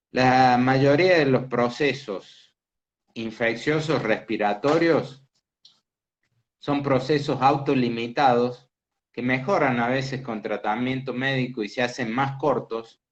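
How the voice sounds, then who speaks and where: A man teaching a medical class, explaining slowly and asking students questions. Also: mid-range voice. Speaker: medical lecturer. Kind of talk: interactive lecture. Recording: dull lo-fi class recording.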